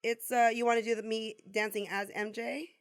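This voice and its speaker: A woman guest on a podcast with a raspy voice, chatting playfully and swearing freely. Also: on the high side, flat delivery, brisk. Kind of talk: casual chat.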